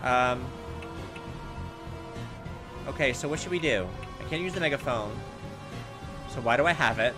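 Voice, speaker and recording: A man's voice: medium pitch, animated, a little slow, long pauses. A man giving casual playthrough commentary on a game, thinking aloud and reading on-screen text as he goes. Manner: thinking aloud, talking to himself. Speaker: gaming video host. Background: game music.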